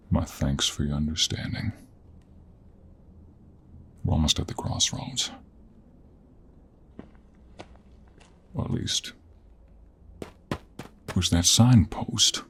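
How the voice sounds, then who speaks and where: A man playing a gruff, teasing, weary 49-year-old cowboy monster hunter in an intimate audio roleplay, with a husky Western American drawl. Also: very deep voice, lively intonation, a bit bright, slight background noise, heavily acted. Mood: apologetic, soft. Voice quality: gravelly, husky. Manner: slow, drawling, with heavy sighs and pauses. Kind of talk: intimate audio roleplay acting, addressed to the listener.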